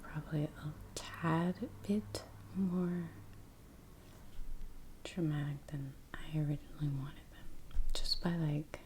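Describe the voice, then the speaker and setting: An American woman doing soft-spoken ASMR, chatting casually in a breathy near-whisper as she comments on her painting. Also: sits low, steady tone, slow, pauses often, rough recording. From United States. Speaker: ASMR creator. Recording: clear background noise, brush clicks.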